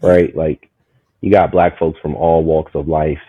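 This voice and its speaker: Guest: man, 30s, from Maryland, rambling storyteller, low voice, phone-quality audio, dry. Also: a little slow, no echo. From Maryland, USA. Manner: animated, rambling, full of "you know what I'm saying". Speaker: guest, community market founder. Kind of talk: casual storytelling conversation.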